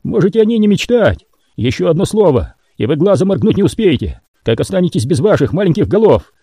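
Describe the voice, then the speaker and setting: A man's voice: sits high, sing-song, normal speed, dark tone, husky, dry recording, clean studio sound, pitched above the performer's own voice, heavily acted. A man playing a crazed, cruel male mutant in a spoken story, gloating and snarling. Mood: raging.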